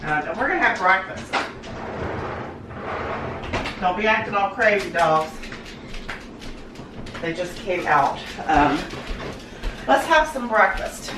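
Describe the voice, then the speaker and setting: A hoarse woman with a cold, chatting in a rambling, folksy way with lots of ums in her home kitchen. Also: medium pitch, expressive, slow, pauses often. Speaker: cooking vlogger. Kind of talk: casual chat to camera. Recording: noisy home recording.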